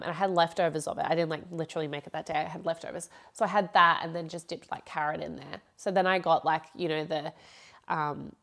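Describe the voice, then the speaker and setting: Chatty nasal woman with a cold. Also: on the low side. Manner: chatty, rambling, laughs often. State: blocked nose, nasal. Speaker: podcast host. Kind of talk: casual solo podcast talk.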